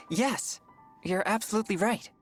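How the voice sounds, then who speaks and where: A woman playing an earnest, polite, easily worried teenage boy in video game dialogue. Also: mid-range voice. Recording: faint music behind the voice.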